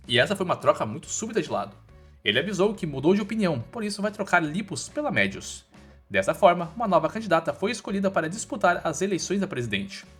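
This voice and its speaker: Male recap narrator, Brazilian accent, animated higher-set voice, informal storytelling, music behind. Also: breathy edge. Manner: storytelling with colloquial slang. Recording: voice-over with background music.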